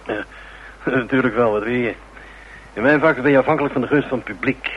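A man playing a brash, cynical band musician in a radio drama, talking in a gruff, slangy, dismissive way. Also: mid-range voice, brisk, lightly acted. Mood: boastful, cynical. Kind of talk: Dutch radio drama acting. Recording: muffled, noisy old radio drama recording.

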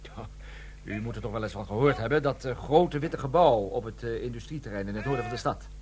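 A man's voice: medium pitch, a little fast, crowd noise, lightly acted. An actor playing a chatty, cheerful military desk man with a dry wit in a radio play. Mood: cheerful, wry.

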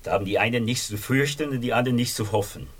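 Guy doing sardonic disillusioned austrian man, husky medium voice, radio play. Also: mid-range voice, quick pace, close to an everyday voice. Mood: bitter, disillusioned.

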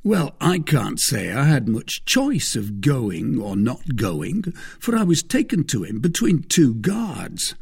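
A man's voice: medium pitch, big pitch swings, slow pace, gravelly, husky, voice pushed up, exaggerated voice. A man voicing a pompous, boastful merchant husband in a period novel, speaking with self-satisfied emphasis. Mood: proud, boastful.

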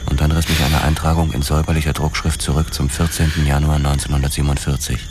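Hardboiled detective narrator, very deep, sombre, deliberate, radio drama, noisy ambience behind. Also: male voice. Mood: shaken, restrained. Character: male police detective narrating grim noir discoveries. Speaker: first-person narrator.

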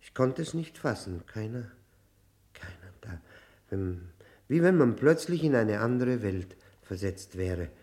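Long-term prisoner, lowish voice, broken and bitter, halting speech that circles back, 1960s radio drama. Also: male voice, animated, darkish tone. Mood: agitated, anguished. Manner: halting, repeating words, brooding. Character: broken, bitter male long-term convict protesting innocence. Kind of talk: 1960 radio drama acting.

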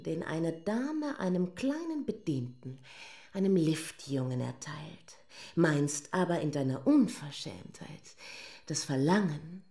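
An actress playing a sensual, grandiose middle-aged lady writer in a radio play, breathy and dramatic, breaking into whispers. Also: sits low, warm tone, heavily acted. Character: sensual, theatrical lady writer craving self-abasement. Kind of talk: German radio play acting.

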